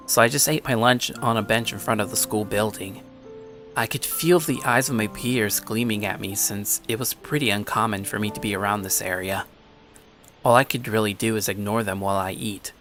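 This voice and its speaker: An actor playing an anxious, earnest teenage schoolboy who narrates an anime-style story in a conversational, introspective way. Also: male voice, lowish voice, sharp tone. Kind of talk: anime-style audio story, first-person scripted narration.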